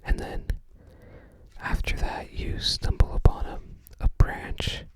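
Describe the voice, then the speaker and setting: Slow, hushed ASMR whisperer. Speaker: ASMR creator. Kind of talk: whispered ASMR, casual book browsing commentary.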